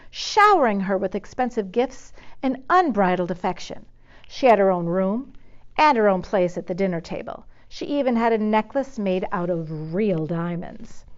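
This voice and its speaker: A woman narrating a children's story expressively, pausing dramatically on key words. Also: mid-range voice, dull audio. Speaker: storybook reader.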